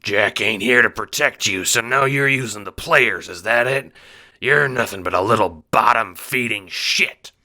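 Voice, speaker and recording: A burly, menacing bookie played by a man for an audio drama. He sneers and bellows, pushed up from the performer's usual low pitch, forceful and hard-edged. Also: a little slow, full character voice. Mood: menacing, scornful. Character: massive burly menacing male bookie thug.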